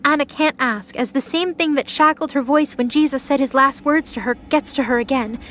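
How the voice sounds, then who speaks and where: A woman's voice: high-pitched, fairly quick, a bit bright, a little hiss or hum. A woman narrator reading a scripted dramatic story for an audio drama. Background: music.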